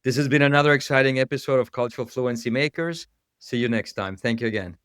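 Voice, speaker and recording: A man's voice: mid-range voice, fast, a bit bright, smooth, no echo, studio quality. A man from Ecuador hosting a casual interview podcast, restarting phrases as he talks. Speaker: podcast host.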